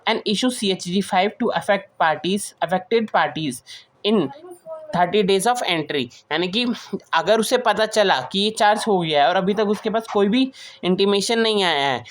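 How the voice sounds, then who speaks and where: A woman's voice: medium pitch, a little hiss or hum. A woman with an Indian accent giving an explanatory lecture as a teacher, reading provisions aloud and then explaining them with repeated key points. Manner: switches between slow English reading and Hindi explanation, repeats key points. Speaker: teacher of company law.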